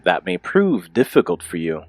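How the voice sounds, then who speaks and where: A man's voice: low-pitched, huge pitch swings, moderate pace, a little mellow, slightly rough, no echo, heavily acted. A man playing a sly, mysterious time traveler with a smug, theatrical delivery in an audio drama.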